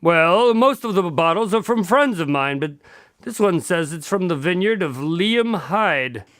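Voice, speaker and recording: An excitable, high-voiced detective's sidekick. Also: male voice, measured pace, no room sound. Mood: puzzled. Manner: animated, earnest, easily flustered. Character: excitable, genial male party host and detective's sidekick. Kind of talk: radio drama acting.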